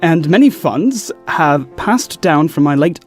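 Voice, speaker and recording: A man's voice: a little high, animated, unhurried, faint music behind. Man playing an awkward, lilting town watchman. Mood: flustered. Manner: hesitant, polite, stiffly courteous. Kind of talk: fantasy audio drama acting.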